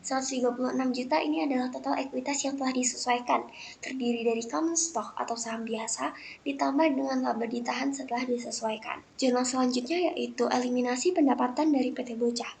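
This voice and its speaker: A woman's voice: high voice, fairly even intonation, quick pace, gravelly, darkish tone. A young woman presenting a scripted lesson step by step in a methodical, recited way, like a student presenter. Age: young adult. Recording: noticeable background noise.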